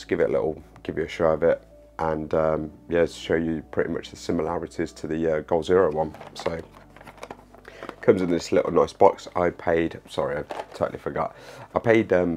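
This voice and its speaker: Very deep-voiced man, hesitant casual demo. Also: a little mellow. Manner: hesitant, many ums and uhs, repeats himself. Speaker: outdoor gear reviewer. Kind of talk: casual product demo to camera. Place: small home living room by a window.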